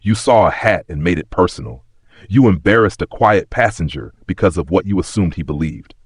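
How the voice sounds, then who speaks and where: A man voicing a calm, stern, quietly menacing airline CEO in an audio story, measured and firm. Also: deep voice, slightly rough, no room sound, clean studio sound. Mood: calm, probing.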